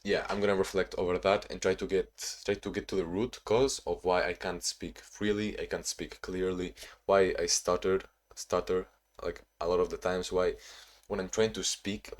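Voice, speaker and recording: A man's voice: low voice, steady tone, normal speed, hardly any pauses. A young man from Spain and Ukraine, not a native English speaker, talking casually to his own camera in a rambling, self-reflective way with many restarts, tired from little sleep. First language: Spanish or Ukrainian. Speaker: vlogger.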